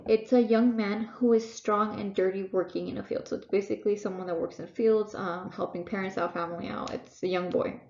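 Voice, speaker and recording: A young woman with a Texan accent teaches a reading lesson from home, a little congested and full of ums and restarts. Her voice carries a gravelly edge, and the recording is echoey and dull. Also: medium pitch, dark tone. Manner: casual, halting, many fillers and restarts. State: congested, sniffling. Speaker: tutor.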